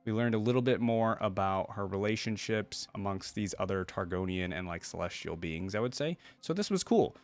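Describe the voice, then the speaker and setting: A man's voice: on the low side, animated, moderate pace, hardly any pauses. A man hosting a reaction video, chatting informally to his viewers in casual commentary.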